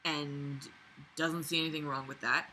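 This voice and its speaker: A woman's voice: low-pitched, fairly even intonation, moderate pace, pushed and bright, slight background noise, poor audio. A woman reading a book aloud and breaking in with sarcastic, indignant, sweary commentary. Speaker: vlogger reading and critiquing a novel.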